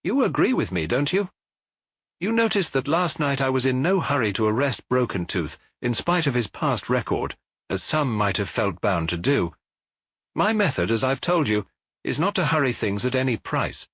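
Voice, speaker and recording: A man's voice: mid-range voice, big pitch swings, normal speed, a bit bright, breathy edge, no room sound, voice pushed up. A man voicing a self-satisfied, eager police inspector in an audiobook, with a pompous, formal, explanatory manner. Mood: self-important. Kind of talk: audiobook character voice.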